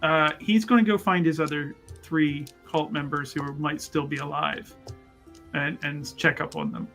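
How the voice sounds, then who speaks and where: A man's voice: on the high side, fairly even intonation, moderate pace, fairly bright tone. A man playing a tabletop roleplaying game, casually narrating his character's actions in a hesitant way, often repeating words and chuckling. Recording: music under voice. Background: background music.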